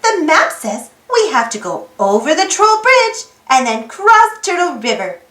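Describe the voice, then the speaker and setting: A woman reading a children's picture book aloud in an animated way, putting questions to young listeners. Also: very high-pitched, a little slow, roomy sound. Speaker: storybook read-aloud host.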